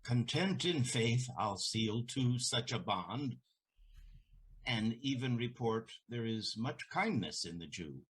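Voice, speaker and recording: An actor playing a proud, scornful elderly merchant in a Shakespearean audio drama, with a haughty, declamatory delivery. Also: male voice, medium pitch, sing-song, slow, fairly bright tone, husky, full character voice. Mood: confident.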